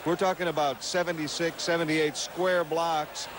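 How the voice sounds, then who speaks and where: A man reporting live from the field, lively and descriptive. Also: on the high side, moderate pace. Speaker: field correspondent. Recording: street noise behind.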